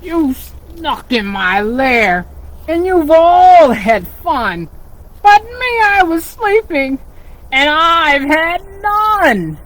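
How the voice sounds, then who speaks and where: A woman voicing a big grumpy male bear who complains in a loud, drawn-out, whiny way. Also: high voice, sing-song, voice pushed up, heavily acted, low-quality recording. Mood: indignant.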